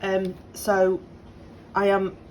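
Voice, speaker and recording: A woman in her late thirties from the UK, slightly croaky, chatting casually and apologetically to camera with lots of ums, at home with appliances humming faintly. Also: mid-range voice, monotone, extremely slow, a little mellow, poor audio. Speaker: budgeting vlogger. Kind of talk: casual direct-to-camera update. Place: home on a Sunday morning. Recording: faint appliance hum behind. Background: dishwasher and washing machine running.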